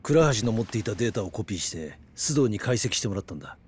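Grave middle-aged detective. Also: male voice, on the low side, big pitch swings, fast, slight background noise. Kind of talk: dramatic video game cutscene acting.

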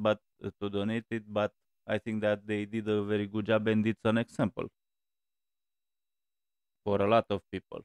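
A man's voice: lowish voice, gravelly, clear, resonant tone, no echo. A man in his forties hosting a music reaction video, talking casually and hesitantly with many fillers, from a small room on a headset mic.

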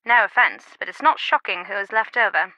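A woman playing an earnest, slightly guarded and loyal confidante in a video game, speaking in a measured way. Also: medium pitch, lively intonation, pushed and bright, no echo, dull audio. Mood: earnest, insistent. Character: earnest, secretive female phone confidante, loyal and concerned.

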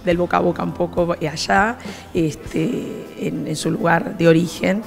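A middle-aged woman with an Argentine accent, a program coordinator explaining the course in an interview, measured and pausing on "eh". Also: lowish voice, steady tone, creaky, soft background music. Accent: Argentine Spanish. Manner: measured, with frequent "eh" fillers. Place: classroom with students behind. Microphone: handheld reporter mic.

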